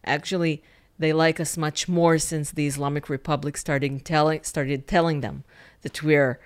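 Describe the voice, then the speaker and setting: A woman's voice: low voice, little pitch movement, moderate pace, clean tone. A woman guest expert on Iran, answering interview questions in an explanatory, correcting way with frequent restarts.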